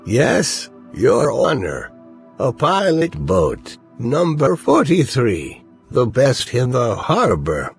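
An actor playing a respectful, cautious but eager boat pilot in a dramatized audiobook, with a slow, deferential delivery. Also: male voice, medium pitch, wildly swooping pitch, vocal fry, full character voice. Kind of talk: dramatized audiobook character acting. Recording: music behind the voice.

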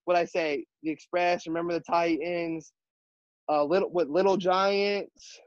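A teenage high school quarterback with a high-pitched voice, answering interview questions earnestly with many fillers and restarts. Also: male voice, steady tone, no echo. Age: teens. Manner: halting, filler-heavy, earnest. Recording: dull, muffled audio.

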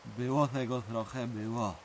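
A man acting as an older country uncle spins old tales at a very slow pace, with dramatic pauses. His mid-pitched voice sits over faint rain in this radio play. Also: steady tone, a little hiss or hum, lightly acted. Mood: wistful, ominous. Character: older village uncle, folksy storyteller recalling ghost tales. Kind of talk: audio drama acting, reminiscing narration.

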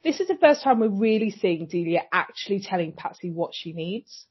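A woman's voice: medium pitch, moderate pace, breathy edge. A woman co-hosting a podcast, chatting casually about a TV episode and acting out lines from it. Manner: animated, acts out quoted lines. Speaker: podcast co-host. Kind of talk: casual chat recapping a TV episode. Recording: dull lo-fi sound.

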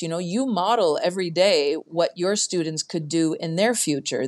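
A woman hosts a casual podcast interview. Her voice sits very low for a woman, and she strings long, eager questions together, chatting freely. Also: a little mellow. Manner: enthusiastic, asks long, winding questions. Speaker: podcast host.

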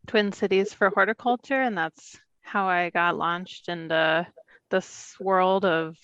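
Casual friendly woman, fry-edged, close dry lo-fi sound. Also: on the low side, fairly even intonation, slow, a bit bright, dry recording. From Minnesota. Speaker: guest, agriculture department staff. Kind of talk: video call panel talk.

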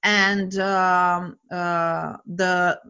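A woman's voice: lowish voice, flat delivery, extremely slow, sharp tone, clean tone, highs cut off. A woman in her forties, a guest coach telling stories earnestly and enthusiastically in a casual interview. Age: 40s.

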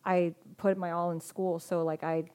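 A woman's voice: lowish voice, little pitch movement, moderate pace, a little mellow, clear, resonant tone. A woman talking as a podcast guest in casual conversation, hesitant and full of restarts and fillers.

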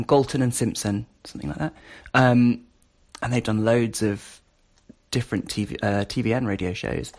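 A man's voice: fairly low pitch, darkish tone. A man hosting a casual spoken review, a little hesitant and given to emphatic repetition. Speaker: podcast host reviewing voice-assistant skills.

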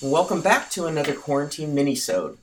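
A woman from the United States co-hosting a podcast, animated and giggly, reading summaries aloud. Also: deep voice, fairly quick, faint music behind. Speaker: podcast co-host. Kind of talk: casual chat.

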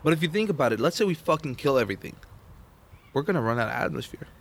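Profane, excitable young man explaining. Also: a little high, rapid-fire, vocal fry, slight background noise. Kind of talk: casual podcast chat. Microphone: shared podcast microphone.

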